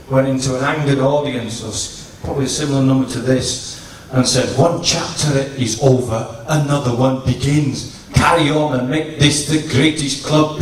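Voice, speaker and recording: A man giving a humorous after-dinner tribute speech to an audience, in a teasing, deliberate storytelling manner. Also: mid-range voice. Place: large function room at a dinner, distant microphone. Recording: echoey noisy hall, from far off.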